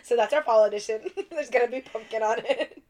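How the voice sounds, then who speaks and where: A woman's voice: a little high, fairly quick, darkish tone. A young woman co-hosting a casual podcast, laughing often and swearing freely. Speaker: podcast co-host. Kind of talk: casual chat.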